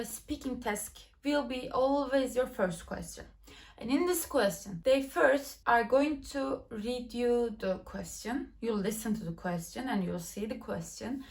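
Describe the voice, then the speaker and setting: A young woman from Turkey with a Turkish accent gives chatty, step-by-step tips for a language exam from home, with small slips in her English. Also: fairly high pitch. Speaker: TOEFL tips YouTuber. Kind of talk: casual instructional vlog. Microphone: laptop nearby.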